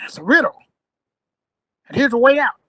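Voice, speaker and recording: A woman reading a brisk young adventurer. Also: high-pitched, animated, a bit bright, no room sound. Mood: determined. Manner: brisk, urging. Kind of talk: live amateur radio play reading.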